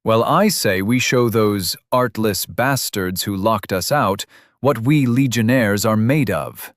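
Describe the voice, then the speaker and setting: A man voicing a gruff, blunt male legionnaire soldier. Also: fairly low pitch, slow pace, dry recording, clean studio sound. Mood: bold.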